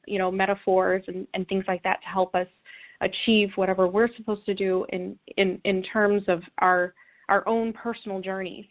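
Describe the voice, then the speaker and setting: A woman guest explaining her views in an interview, earnest and reflective, with halting phrasing. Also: medium pitch. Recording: thin phone-like call audio.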